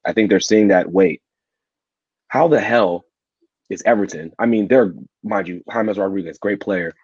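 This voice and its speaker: A man's voice: low-pitched, normal speed, a little mellow, slightly rough, no echo. A man co-hosting a casual football podcast debate, blunt and emphatic, often repeating words as he drives his point home. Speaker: podcast co-host. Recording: dull, muffled audio.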